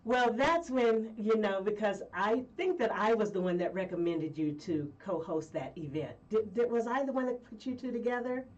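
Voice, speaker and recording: A woman from Colorado, a guest singer telling stories in a rambling, lively way with frequent laughs. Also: mid-range voice, moderate pace, few pauses, distant mic, dull audio. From Colorado, USA. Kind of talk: casual interview chat.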